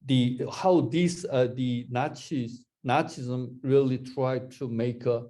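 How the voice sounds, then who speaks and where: A man answering questions in an academic discussion over a video call, halting and restarting his phrases often. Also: medium pitch, fairly even intonation, mellow. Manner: halting, repeats words, many restarts.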